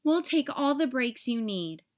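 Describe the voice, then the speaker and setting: A woman voicing a kindly, reassuring old man, a Christmas gift-bringer in a storybook, with an unhurried manner. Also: sits high, sing-song.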